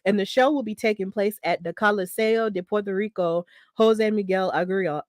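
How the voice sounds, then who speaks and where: Woman, podcast host, casual upbeat chatter, mid pitch, dry close sound. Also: moderate pace, no room sound. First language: English. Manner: chatty, upbeat, with frequent fillers and self-corrections. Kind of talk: solo wrestling news podcast.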